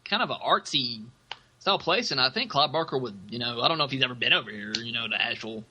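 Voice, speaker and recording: A man talks as a podcast co-host in a medium-pitched voice with a very bright, forceful edge. His delivery is informal and folksy, stopping and starting as he chats. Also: animated, quick pace, a little hiss or hum. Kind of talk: casual chat.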